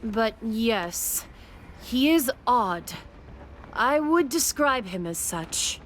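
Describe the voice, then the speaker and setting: A woman's voice: a little high, lively intonation, drawn-out words, a bit bright, full character voice, poor audio. Shrewd wry lady monster hunter in a game, confident, faint noise behind. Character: shrewd, self-assured woman monster hunter. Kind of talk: video game voice acting.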